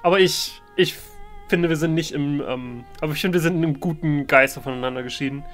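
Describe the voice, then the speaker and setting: A man's voice: a little high, brisk, fairly bright tone. A man giving casual let's play commentary, hesitant and rambling as he thinks aloud. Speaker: let's player, role-play gaming channel host. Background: game music.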